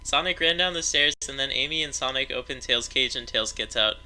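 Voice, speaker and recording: A man's voice: medium pitch, fairly quick, sharp tone. A man narrating amateur fan fiction aloud in a mock-dramatic storytelling manner. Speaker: narrator of a story read-along.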